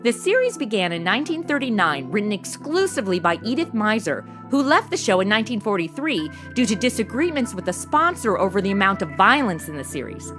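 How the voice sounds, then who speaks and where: A woman's voice: medium pitch, big pitch swings, brisk, few pauses, music in the background. A woman with an American accent hosting a podcast, reading a scripted outro in a chatty, direct way. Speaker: podcast host.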